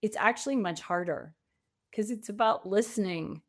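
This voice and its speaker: A woman guest on an interview podcast, speaking reflectively and articulately with emphatic pauses. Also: mid-range voice. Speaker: podcast guest, pivot coach.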